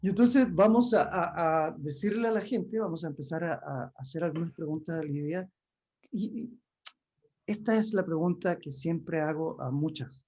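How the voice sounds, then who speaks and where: A man's voice: a little high, brisk, darkish tone. A man hosting an interview, asking his questions hesitantly and searching for words with many restarts. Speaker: interview host. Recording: dull lo-fi sound.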